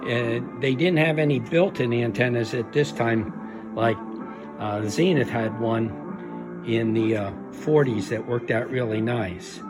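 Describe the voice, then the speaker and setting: Male hobbyist demonstrator, mid-pitched, very slow and hesitant, unscripted, with music playing behind. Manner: halting, hesitant explaining with trailing phrases. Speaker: radio restoration hobbyist demonstrating a restored set.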